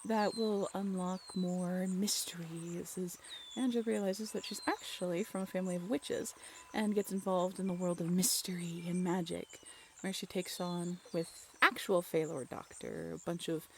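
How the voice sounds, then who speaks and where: A woman acting a bashful, affectionate young writer on a date in an intimate audio roleplay, halting and playfully teasing. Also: on the low side, steady tone, slight background noise. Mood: shyly pleased.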